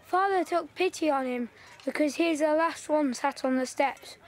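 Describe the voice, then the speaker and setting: Here is a gossipy village girl from wartime, as an actress plays her in a radio play. She talks high and eager, earnest and quick to chime in. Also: background noise, natural voice. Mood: chatty. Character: chatty local Yorkshire village girl in wartime. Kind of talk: audio drama acting.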